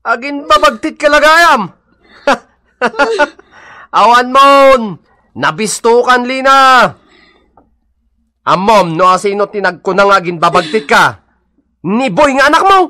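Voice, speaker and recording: A man's voice: very high voice, animated, takes it slowly, pauses often, pushed and bright, dry recording, studio quality, heavily acted. A man acting a scheming, teasing shopkeeper in an Ilocano comedy radio drama, with an Ilocano accent and exaggerated, exclamatory delivery. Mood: gloating, insistent.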